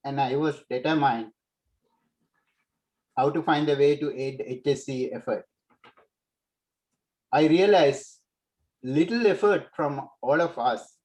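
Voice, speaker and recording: A man's voice: medium pitch, a little mellow, rough recording. A man with a Sri Lankan accent speaking as a foundation founder, giving a prepared welcome speech on a video call. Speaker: foundation founder opening a webinar.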